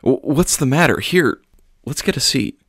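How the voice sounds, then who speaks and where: A man's voice: mid-range voice, lively intonation, moderate pace, a bit bright, no echo, studio quality, voice pushed up. A man voicing an earnest young pastor, sermonizing and talking with his congregation. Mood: concerned.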